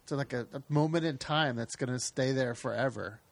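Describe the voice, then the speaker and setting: A man's voice: mid-range voice, brisk, creaky. A man co-hosting a podcast in casual chat, skeptical and full of questions. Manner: skeptical, asks lots of questions. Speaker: podcast co-host.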